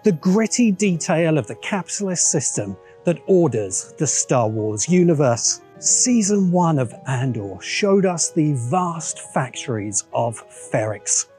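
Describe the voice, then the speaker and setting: A man hosting a video essay, reading scripted narration in a measured, emphatic way with dramatic pauses. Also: on the high side, very animated, warm tone, soft background music.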